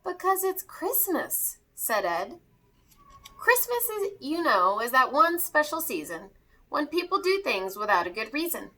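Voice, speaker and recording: A woman reads a rhyming children's story aloud with a high, bright voice. Her delivery is theatrical and swings widely in pitch, as a read-aloud for young listeners. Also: a little slow. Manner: animated, theatrical. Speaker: teacher reading a picture book aloud to children.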